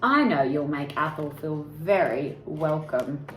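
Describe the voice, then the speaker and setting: A woman's voice: low-pitched, expressive, takes it slowly, pitched below the performer's own voice. A woman voicing a cheerful, approving male schoolteacher from a children's storybook, in an effusive, exclaiming manner. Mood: pleased, approving. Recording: echoey room with faint background noise.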